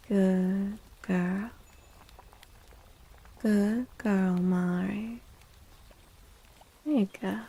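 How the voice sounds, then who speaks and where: A woman speaks softly and slowly, in the manner of an ASMR streamer chatting with her viewers. Her hushed voice is breathy with a gravelly creak, and it often drops to a whisper close to the mic. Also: medium pitch. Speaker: livestreamer hosting an ASMR stream.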